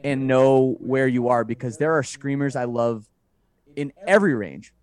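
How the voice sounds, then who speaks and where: Thoughtful man, middle pitch. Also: moderate pace, darkish tone. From Canada. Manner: thoughtful, restarts and hedges. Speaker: guest musician. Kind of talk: casual interview chat.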